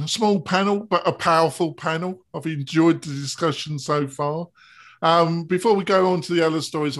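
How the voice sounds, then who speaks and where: A man hosting a live web panel show, chatty and promotional as he goes to a break. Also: fairly high pitch. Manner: chatty, plugs a webinar with food metaphors. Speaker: show host.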